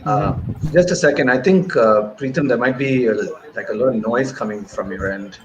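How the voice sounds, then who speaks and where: A man's voice: medium pitch, moderate pace, dull audio. A man in his thirties talking conversationally as an interview guest, speaking on a video call through earphones. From grew up in Bahrain and Malaysia. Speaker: guest filmmaker. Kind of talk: conversational interview answer, recounting career. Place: indoor room, remote video call. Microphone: wired earphones on video call. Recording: noisy video-call audio.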